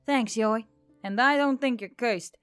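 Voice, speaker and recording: Fantasy audiobook centaur, played by a woman: loyal female friend, higher register, rambling and teasing. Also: unhurried, clean tone, no room sound, soft background music, close to an everyday voice. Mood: earnest, supportive. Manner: chatty, rambling.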